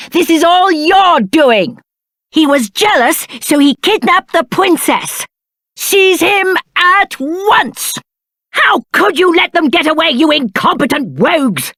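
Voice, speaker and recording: High-voiced, pompous spoiled British prince. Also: male voice, pushed and bright, no room sound, clean studio sound, exaggerated voice. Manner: pompous, petulant, theatrical. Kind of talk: video game character acting.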